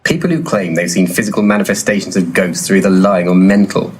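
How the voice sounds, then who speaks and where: An actor playing a brash, foul-mouthed, money-minded ghost investigator in a comedy audio drama, deadpan and bossy. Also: male voice, sits low, fast talker, breathy edge. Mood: brazen.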